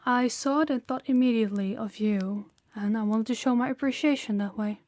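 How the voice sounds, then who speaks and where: A woman's voice: fairly high pitch, darkish tone, no room sound, natural voice. Woman acting as a commanding female mafia boss. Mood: composed, businesslike. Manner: formal, deliberate, authoritative. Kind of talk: audio roleplay acting.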